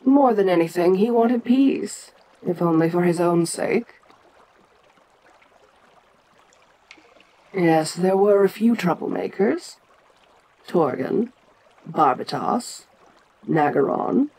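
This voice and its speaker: Woman as a slow, imperious ancient monster queen. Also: lowish voice, animated, warm tone. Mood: grave, reminiscing. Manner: domineering, deliberate, stately. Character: ancient giant female kaiju queen, proud, imperious, curious. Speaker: voice actor in audio roleplay. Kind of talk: dramatic audio roleplay addressed to the listener.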